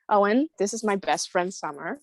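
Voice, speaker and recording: A woman's voice: medium pitch, no echo. A woman playing an outgoing, curious teenage girl with a playful manner at a script table read.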